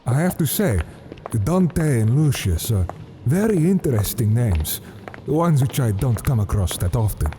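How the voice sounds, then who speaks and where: An actor playing a devout, courteous elderly cardinal who talks in a formal, polite and earnest way. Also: male voice, medium pitch, sing-song, measured pace, warm tone, slight background noise.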